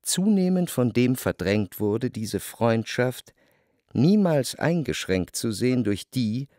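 An observant literary narrator in a radio play. He tells the story in a measured, wry way, with a medium pitch and widely varied intonation. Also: sing-song, dark tone, dry recording, studio quality.

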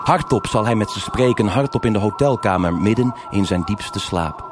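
A man narrating a scripted live noir radio drama in a measured, atmospheric way with short phrases. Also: on the low side. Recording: live stage with loud music.

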